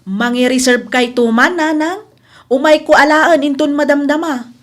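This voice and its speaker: A woman's voice: high voice, steady tone, moderate pace, natural voice. A woman playing a friendly, chatty customer with an Ilocano accent in a radio drama. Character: friendly, chatty female customer of a food seller.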